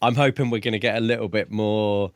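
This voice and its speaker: A man's voice: fairly low pitch, fairly even intonation, brisk, no echo, studio quality. A man co-hosting a podcast, recapping and casually discussing a book. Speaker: podcast co-host. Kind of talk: casual chat.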